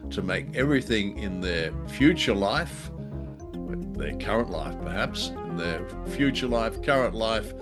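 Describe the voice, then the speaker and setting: A male podcast co-host gives a wry introduction. His voice is on the low side, with plucked guitar music clearly playing behind it. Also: animated, a little slow.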